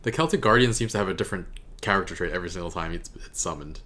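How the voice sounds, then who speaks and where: Young adult male reaction host, low voice, casual commentary, close desk mic in a home room. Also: very fast, sharp tone. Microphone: desk microphone close in front.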